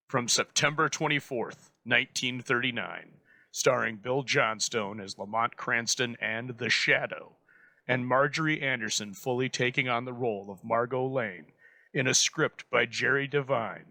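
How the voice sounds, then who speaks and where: Guy narrating a scripted show intro, formal and measured, creaky forceful voice, very dry sound. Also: mid-range voice, dry recording. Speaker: host introducing radio episodes.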